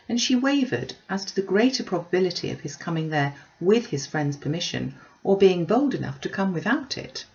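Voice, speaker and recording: A woman's voice: lowish voice, dull audio. A woman reading a classic novel aloud as scripted audiobook narration. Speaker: audiobook reader.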